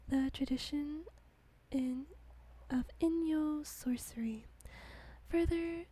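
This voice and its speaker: Woman whispering asmr style, slow and soft, kinda high pitched, little giggles. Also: low-quality recording. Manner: slow, gentle reading. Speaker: ASMR host.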